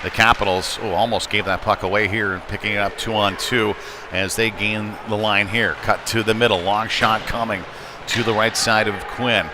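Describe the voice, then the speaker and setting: Guy with a lowish voice calling a hockey game, chatty and folksy, lots of rink noise behind him. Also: steady tone, moderate pace. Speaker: hockey play-by-play commentator. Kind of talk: live sports commentary. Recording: noisy indoor rink ambience.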